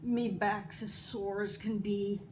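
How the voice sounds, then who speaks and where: A woman's voice: mid-range voice, flat delivery, slow pace, close to an everyday voice. A lazy Irish husband from a storybook, played by a woman, whiny and full of self-pity. The delivery is dramatic and drawn out, with faint background noise. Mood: whining. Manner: whining, dramatic.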